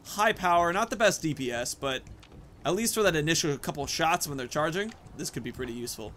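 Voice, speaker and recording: Male gaming host, higher-pitched and bright, casual think-aloud play commentary over music. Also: lively intonation, fairly quick, slight background noise. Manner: thinks aloud, narrating own moves and choices. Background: video game music.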